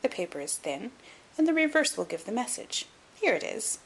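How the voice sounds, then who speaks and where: A woman voicing a shrewd, composed gentleman detective in an audiobook, measured, articulate and dryly superior. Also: a little high, sing-song, gravelly, a little hiss or hum, rough recording. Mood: deductive, intent. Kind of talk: audiobook character acting.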